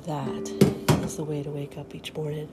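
A woman's voice: low voice, little pitch movement, moderate pace, over loud music. A middle-aged woman hosting a podcast in a casual, upbeat morning chat, often half-whispering, with a stuffy nose from a head cold. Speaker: podcast host.

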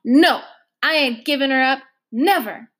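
A woman's voice: sits high, a little slow, fairly bright tone, pitched above the performer's own voice. A woman voicing a stubborn older foster mother who speaks in folksy country dialect, in a storybook reading. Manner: bellowing, folksy dialect, emotional.

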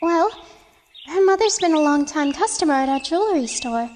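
Perky anime schoolgirl, really high voice, chatty, earnest, echoey with music. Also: lively intonation, moderate pace, darkish tone, slight background noise. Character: perky, matter-of-fact young schoolgirl best friend. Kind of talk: anime fan dub acting.

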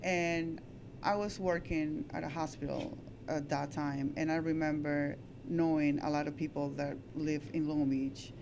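A woman's voice: sits low, steady tone, unhurried. Peruvian-accented woman, noisy room. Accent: Peruvian Spanish. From Peru. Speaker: shop co-owner. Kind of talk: casual interview chat.

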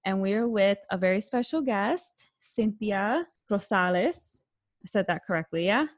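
A woman's voice: medium pitch, expressive, a little slow. Chatty woman hosting an interview. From Puerto Rican family background. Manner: friendly, chatty, with frequent fillers. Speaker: interview host. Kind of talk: casual interview.

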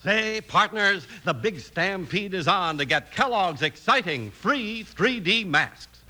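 A man's voice: high voice, animated, slow. An American man announcing and narrating a 1950s radio show, dramatic and emphatic, like a born salesman. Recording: old radio transcription with music.

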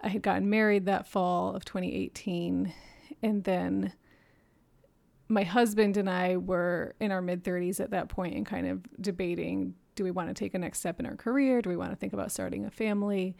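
Podcast guest, woman, 30s, gravelly voice, reflective storyteller who laughs often. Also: medium pitch, fairly even intonation, normal speed, a little mellow. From Japan and New York City. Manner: earnest, reflective, laughs often between thoughts. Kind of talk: candid personal storytelling.

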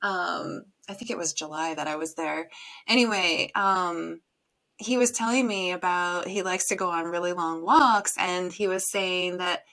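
A woman's voice: on the low side, measured pace, a bit bright. A woman hosting a podcast, talking thoughtfully and a little ramblingly with many fillers. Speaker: podcast host. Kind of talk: conversational interview.

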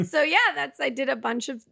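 A young woman from the Boston area with a raspy voice, chatting as a guest in a casual interview, talking loosely with many fillers and frequent laughs. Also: high voice, expressive, moderate pace, fairly bright tone, no echo. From Boston area, United States.

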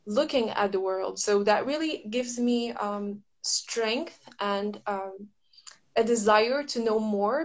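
Woman, from Morocco, middle pitch, casual conversation, reflective, halting, searching for words. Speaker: astrology practitioner.

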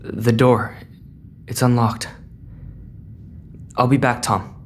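A man's voice: medium pitch, flat delivery, takes it slowly, natural voice. A man playing a puzzled teenage boy adventurer, thinking aloud in a sci-fi audio drama. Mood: curious, uneasy. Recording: long reverb, faint ambient noise.

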